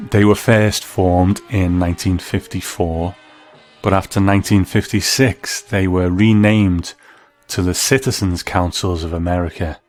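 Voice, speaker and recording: A man hosting a talk program, giving scripted commentary and reading from articles. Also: sits low. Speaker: host.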